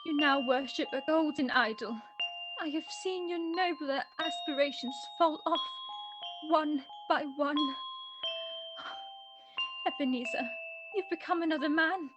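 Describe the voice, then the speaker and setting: A woman playing a gentle, sorrowful but resolute young fiancée, earnest and theatrical in a radio play. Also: high voice, measured pace, long pauses, dull audio, over music.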